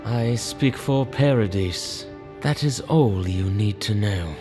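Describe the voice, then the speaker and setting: A man's voice: lowish voice, slow pace. A man playing a stern rebel messenger in a video game, solemn and threatening. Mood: cold, threatening. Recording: clear background game music.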